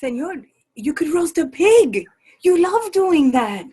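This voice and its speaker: An actress playing a warm, cheerful, doting household servant in a dramatic play reading, fond and exclamatory. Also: female voice, extremely high pitch, very animated, slow, exaggerated voice. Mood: cheerful, coaxing.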